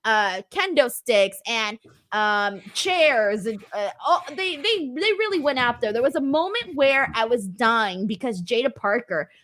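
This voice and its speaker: A woman's voice: a little high, expressive, measured pace, a bit bright. A woman hosting a casual wrestling review show, recapping matches with enthusiasm. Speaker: host.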